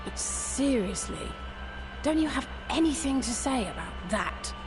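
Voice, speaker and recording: A woman's voice: high voice, animated, measured pace, poor audio. A woman playing an earnest, restless, proud young fighter in a video game, speaking formally and frankly. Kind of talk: scripted video game voice acting. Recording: music behind the voice.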